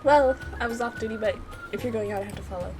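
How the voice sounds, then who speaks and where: A woman's voice: a little high, quick pace, close to an everyday voice. A woman voicing a stern, dutiful male bodyguard in a visual novel, matter-of-fact and restrained, with music behind. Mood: dutiful, flat.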